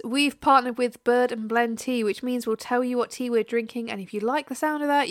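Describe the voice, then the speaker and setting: A woman co-hosting a podcast in casual chat, a bit bunged up from a cold, chatty and quick to laugh. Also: fairly high pitch, no room sound, clean studio sound. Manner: chatty, laughs often, drawn-out fillers. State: congested from a cold. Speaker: podcast co-host.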